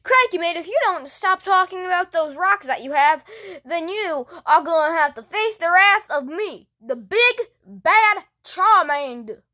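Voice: very high-pitched, expressive, measured pace, bright, forceful tone, exaggerated voice. A child voicing a feisty small cartoon monster with a put-on Australian accent. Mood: blustering.

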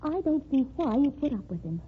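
A woman's voice: high voice, very animated, a little fast. An actress playing a tired, wry woman reporter in an old radio drama. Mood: weary. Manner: wry, weary. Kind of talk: 1940s radio acting. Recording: tinny old radio broadcast with hiss.

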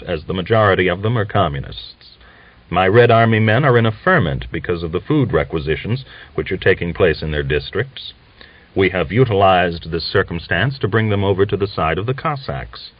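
A man's voice: on the low side, no room sound. A man voicing an earnest, anxious, formal army officer in an audiobook novel. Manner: formal, emphatic, persuasive. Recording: dull lo-fi audiobook recording.

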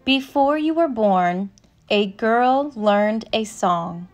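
A woman narrator reading a children's picture book aloud from a script, with measured, careful phrasing. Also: fairly high pitch, expressive. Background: instrumental music.

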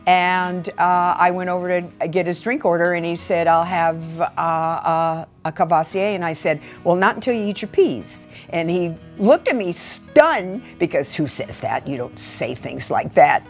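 An American woman in her sixties recounts a personal story in an interview. Her voice is on the low side and she acts out dialogue as she goes, with faint music behind. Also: measured pace, few pauses. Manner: animated storyteller, quoting dialogue. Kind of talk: personal anecdote in documentary interview. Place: furnished living room. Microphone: lapel microphone.

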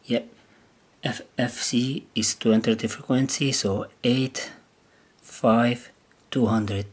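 A man who is not a native English speaker gives a casual, unscripted hands-on demonstration, hesitantly thinking aloud in halting phrases. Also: medium pitch, steady tone. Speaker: amateur radio hobbyist demonstrating gear. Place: indoors at a wooden table. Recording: clear background noise on handheld camera audio.

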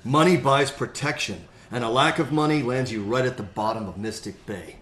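A man's voice: medium pitch, normal speed, a bit bright, close to an everyday voice. Hard-bitten mercenary leader played by a man, echoey. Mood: grim, explaining. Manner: matter-of-fact, explanatory. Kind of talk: audio drama acting. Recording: echoey room.

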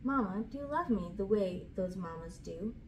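A woman's voice: medium pitch, expressive, moderate pace. Woman reading to children, noisy room. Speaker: host reading children's books aloud. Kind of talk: storybook read-aloud with casual asides. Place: small indoor room with curtain backdrop. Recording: clear background room noise.

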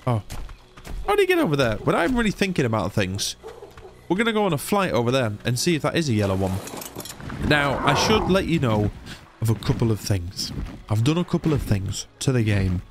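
A young man with a cold and a slightly nasal, blocked-nose voice, chatting excitably through a game playthrough. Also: mid-range voice, brisk, background noise. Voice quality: a bit nasal. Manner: chatty, excitable, rambling asides. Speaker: gaming YouTuber. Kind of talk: casual let's-play commentary.

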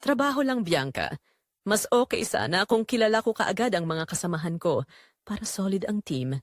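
A woman's voice: mid-range voice, lively intonation, normal speed, no room sound, studio quality, natural voice. Woman voicing a caring young male mechanic. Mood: friendly, teasing.

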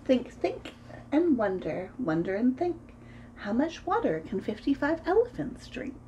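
High, swooping storytime woman. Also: measured pace, lo-fi sound, slight background noise. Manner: expressive, sing-song storytelling. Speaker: host of a children's story time. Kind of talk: picture-book read-aloud for preschoolers. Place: small room at home, indoors.

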